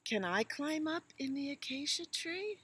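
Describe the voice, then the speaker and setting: Storybook baby elephant, played by a woman: curious and eager, high-pitched, full of questions. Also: sing-song, a little slow, a bit bright, dry recording, full character voice.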